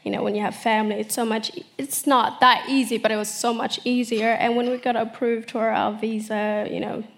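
A woman's voice: fairly high pitch, flat delivery, brisk, vocal fry. Earnest young woman from South Sudan. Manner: earnest, uses many "you know" fillers. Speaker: interview guest, model. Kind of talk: reflective interview answers.

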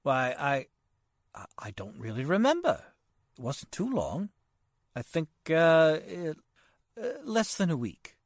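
A woman's voice: low-pitched, big pitch swings, unhurried, long pauses, dry recording, clean studio sound, full character voice. A woman playing an anxious, earnest client in an old-time radio drama, speaking in a hesitant stammer. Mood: confused, hesitant. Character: anxious, hesitant client fearing an uncle is swindled.

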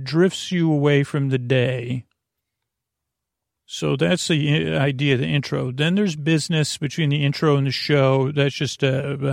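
A man with a creaky voice hosts a bedtime podcast, meandering haltingly through tangents with self-deprecating, absurd humour. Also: mid-range voice, no room sound, studio quality. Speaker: podcast host. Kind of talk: rambling bedtime podcast monologue.

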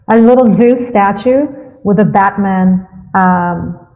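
A young woman from Greece answers interview questions in a relaxed, considered way, often pausing with fillers as she thinks. Her voice sits in a middle range and comes through a narrow, tinny line like a phone call. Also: medium pitch. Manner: thoughtful, pauses with fillers before answering. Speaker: guest. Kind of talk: casual interview chat.

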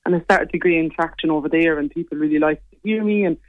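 A young Irish woman with a low voice, chatting quickly as a singer guest in a casual interview. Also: no echo, phone-quality sound. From Ireland. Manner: quick, chatty, lots of "like" and "you know".

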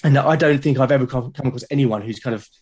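A man's voice: mid-range voice, quick pace, a little mellow, husky. A British man talking casually and earnestly as a podcast guest, with an encouraging way of speaking. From Britain. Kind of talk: casual interview chat.

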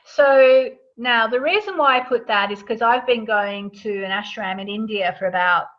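A woman's voice: on the high side, normal speed. A woman speaking as a guest author, casually explaining her subject in a conversational way, checking in with the listener as she goes. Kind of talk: casual explanatory interview talk. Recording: dull lo-fi sound.

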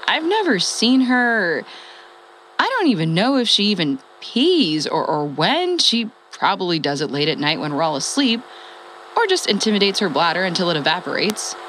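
A woman's voice: on the low side, a bit bright. An actress playing a wry, rambling woman who survived the apocalypse and reports by radio in a comedic audio drama. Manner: rambling, hesitant, self-amused. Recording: clearly noisy ham radio transmission.

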